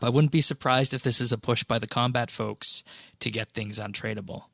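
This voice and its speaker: Gravelly man chatting on a podcast. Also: mid-range voice, fairly bright tone, no echo. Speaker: podcast host. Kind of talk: casual podcast discussion.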